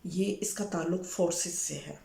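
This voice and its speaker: A Pakistani woman with a slightly hoarse, sore throat, presenting a profile informally to viewers as a matchmaker and mixing in English words. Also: lowish voice, normal speed, mellow, reverberant. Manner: Urdu mixed with frequent English words.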